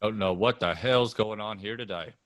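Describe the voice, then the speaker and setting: A man's voice: lowish voice, normal speed, fairly bright tone, lightly acted. An actor reading the part of a sullen, grumbling rural man in a staged reading. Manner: grumbling, gruff. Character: angry rural man, grown son, sullen.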